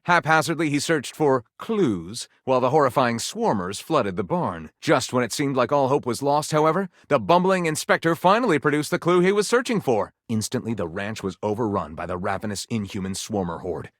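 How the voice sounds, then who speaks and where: A man's voice: medium pitch, animated, fairly quick, hardly any pauses, fairly bright tone, no echo, close to an everyday voice. A man acting as a flamboyant storyteller in a video game, relishing every lurid line. His middle-range voice swoops dramatically up and down with florid, suspenseful phrasing. The sound is very dry, with no room around it. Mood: dramatic, suspenseful. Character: flamboyant, melodramatic male storyteller relishing lurid pulp tales. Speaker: voice actor playing a storyteller character. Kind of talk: theatrical scripted narration of a racy adventure story.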